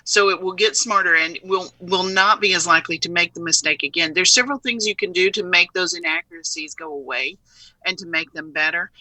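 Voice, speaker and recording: A woman's voice: lowish voice, a little fast, bright, forceful tone, no echo, low-quality recording. A woman speaking as an expert guest in a conversational live interview, earnest and repeating words for emphasis. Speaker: interview guest, software company CEO.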